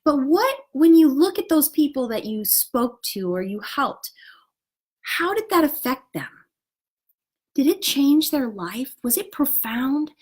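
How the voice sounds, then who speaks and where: A woman's voice: high-pitched, sing-song, a little slow, darkish tone. A woman giving casual motivational spiritual coaching to an online group. She talks outdoors, sounds stuffed up and slightly nasal, and speaks earnestly and encouragingly with frequent "you know" and "right?".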